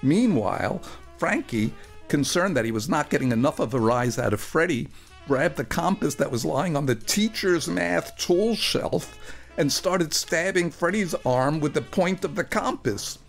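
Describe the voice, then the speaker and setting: A man performing a first-person story for radio, with a wry, animated and earnest delivery. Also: on the high side, measured pace, gravelly, soft background music.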